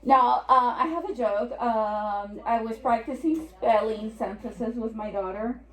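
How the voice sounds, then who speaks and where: A woman's voice: a little high, steady tone, measured pace, a bit bright. A woman in her fifties with a Puerto Rican accent, giving an informal, self-deprecating talk as an attorney. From Puerto Rico. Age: 50s. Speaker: estate planning attorney, speaker. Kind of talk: informal presentation. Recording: echoey room.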